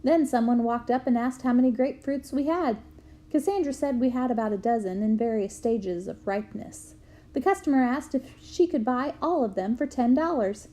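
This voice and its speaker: A woman reading a memoir aloud to students in a clear storytelling manner. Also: fairly high pitch, normal speed. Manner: clear storytelling, bursts into loud shouts for quoted yells.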